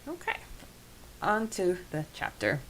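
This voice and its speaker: A woman's voice: lowish voice, wildly swooping pitch, slow pace, vocal fry, a bit bright. A woman hosting a podcast that discusses a book, mixing prepared notes with talk. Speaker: podcast host. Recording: noticeable background hiss.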